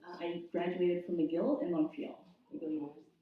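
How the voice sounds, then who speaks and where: A woman from Zimbabwe in her thirties, sharing her personal faith story at a church service in a steady, reflective way with frequent ums. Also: lowish voice, fairly quick. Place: church sanctuary. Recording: echoey room from far off, dull.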